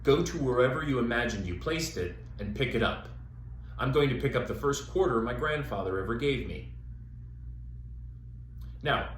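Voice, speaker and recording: A man working as a theater educator, guiding listeners through an exercise with measured, step-by-step instructions and pauses. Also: mid-range voice. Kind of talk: instructional demonstration, guided exercise. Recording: echoey room with faint background noise.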